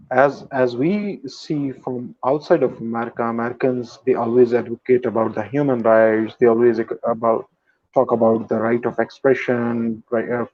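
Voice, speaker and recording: A man's voice: mid-range voice, darkish tone. Hesitant male host on dull lo-fi audio. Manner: hesitant, filler-heavy, frequent "hmm". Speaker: interview host. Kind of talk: casual interview questions.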